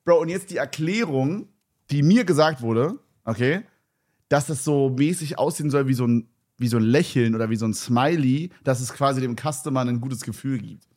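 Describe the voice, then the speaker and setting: A young man co-hosting a podcast, chatting in a rambling, excitable, slang-heavy way. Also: medium pitch, moderate pace. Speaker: podcast co-host. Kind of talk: casual podcast chat.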